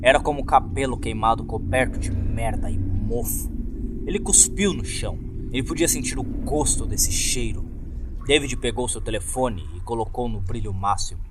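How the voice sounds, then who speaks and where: A man's voice: medium pitch, expressive, normal speed, noisy background. A man with a Brazilian accent reading a scripted horror story as narrator. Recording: loud music under the voice. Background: loud background music.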